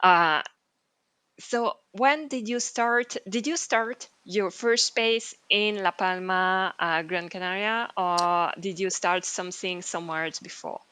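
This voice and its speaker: A woman's voice: mid-range voice, slow, sharp tone, clear, resonant tone. A woman hosting a podcast interview in casual chat, a French speaker talking in English, with frequent fillers and restarts. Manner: chatty, many fillers and restarts. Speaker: podcast host.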